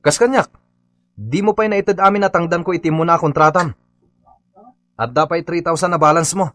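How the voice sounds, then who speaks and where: A man's voice: on the high side, normal speed, a bit bright, soft background music, close to an everyday voice. A man playing a stubborn, aggrieved Filipino labourer in a radio comedy drama, insistent and accusing. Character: aggrieved, stubborn male labourer demanding his unpaid wages.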